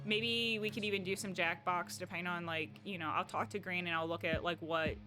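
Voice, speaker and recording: A woman's voice: on the low side, fairly even intonation, brisk, music in the background. A young woman with a Midwestern accent talking casually to her stream chat in a rambling way, full of "like" and restarts. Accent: Midwestern American. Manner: chatty and rambling, heavy on "like" and restarts.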